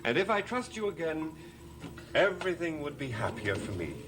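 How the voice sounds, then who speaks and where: An actor playing the brooding, resolute master of a cursed house in a gothic soap opera, speaking in a deliberate, commanding, dramatic manner. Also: male voice, fairly high pitch, sing-song, background noise. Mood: firm, accusing.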